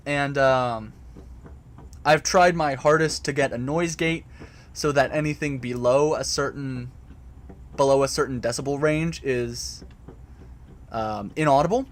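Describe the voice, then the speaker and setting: A man casually explaining a topic to a live chat from a home basement recording area, hesitant, with many fillers and restarts. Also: fairly high pitch. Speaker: host answering chat.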